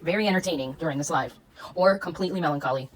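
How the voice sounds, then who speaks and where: Low-voiced woman doing wry commentary. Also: rapid-fire, slight background noise, low-quality recording. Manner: gossipy, wry. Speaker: commentary video host. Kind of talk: voice-over commentary.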